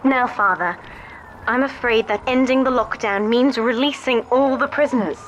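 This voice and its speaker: A woman's voice: on the high side, normal speed, dull audio, music in the background, lightly acted. A woman playing a devoted, determined young daughter guiding her father in a video game, earnest and articulate. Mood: solemn. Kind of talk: dramatic video game voice acting.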